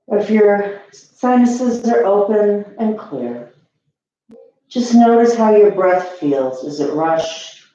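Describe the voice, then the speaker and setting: A woman teaching yoga, guiding breath and posture gently and soothingly with long pauses. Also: mid-range voice, animated. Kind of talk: guided yoga and meditation instruction. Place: echoey indoor room. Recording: echoey room, slightly dull sound.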